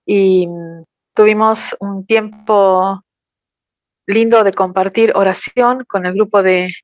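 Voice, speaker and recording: A woman interpreting a talk, careful and measured. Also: mid-range voice, steady tone, no room sound, dull audio. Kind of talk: consecutive interpretation.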